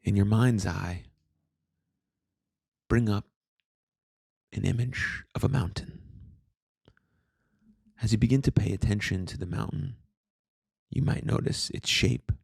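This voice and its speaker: Slow, deep gravelly male meditation guide. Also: no echo, clean studio sound. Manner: calm, soothing, unhurried with long pauses. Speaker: meditation guide, podcast host.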